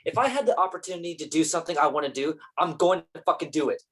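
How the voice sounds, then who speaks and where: A low, husky voice for a defensive and ambitious male screen actor , played by a woman. The delivery is casual and sweary, in the manner of a staged play reading. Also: lively intonation, very fast. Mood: defensive, angry. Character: defensive, ambitious young male film actor named Nick.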